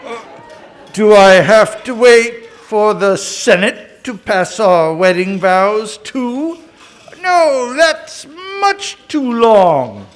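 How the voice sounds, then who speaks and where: A man's voice: sits high, big pitch swings, heavily acted. A man playing a pompous, theatrical king in a live children's puppet show. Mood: dry, comic. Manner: theatrical, slow, emphatic delivery.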